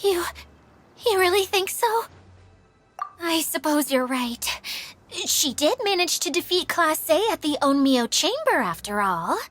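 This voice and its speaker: An actress playing an eager, easily flustered young guide in a video game, hesitant and apologetic, doubting herself. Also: female voice, high voice, sing-song, a little slow, fairly bright tone, heavily acted. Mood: flustered, downcast. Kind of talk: video game cutscene acting.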